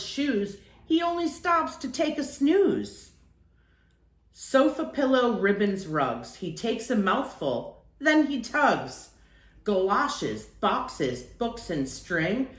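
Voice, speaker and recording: A woman reading a children's picture book aloud in an expressive storytelling style. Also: mid-range voice, roomy sound.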